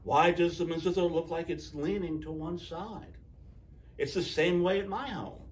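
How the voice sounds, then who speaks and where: A man's voice: on the high side, normal speed, natural voice. A man voicing an eager, curious young girl in a storybook, asking things in an expectant way. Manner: expectant, inquisitive.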